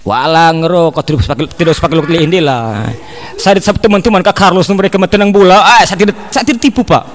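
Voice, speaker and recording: A young man with an Eastern Indonesian accent doing an animated, talkative comic monologue, mimicking ways of speaking, close on the microphone. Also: sits high, fast. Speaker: comic performer. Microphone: large condenser microphone on a stand, close.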